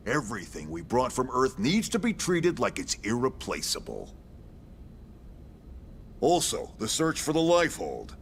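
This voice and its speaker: An actor playing a gruff, brash middle-aged military commander in a video game, with an American accent, a gravelly voice and a blunt, folksy, salty way of talking. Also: male voice, medium pitch, a bit bright, a little hiss or hum, exaggerated voice. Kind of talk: scripted video game cutscene acting.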